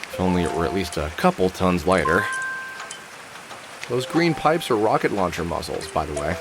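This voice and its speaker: A world-weary middle-aged man mutters his way through a video game monologue. His voice sits fairly low but moves around a lot, with a dry, sardonic edge. Music plays clearly underneath him. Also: sing-song, moderate pace. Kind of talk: scripted game character monologue, thinking aloud.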